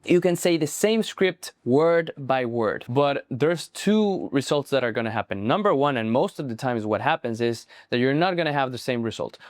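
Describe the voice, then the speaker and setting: A young man speaks English with a Spanish accent, since Spanish is his first language. He explains his ideas earnestly, restarting phrases now and then and checking in with asides. His voice sits at an ordinary middle pitch and sounds close and dry, with no room around it. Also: dry recording. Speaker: marketing coach. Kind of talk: casual talk to camera.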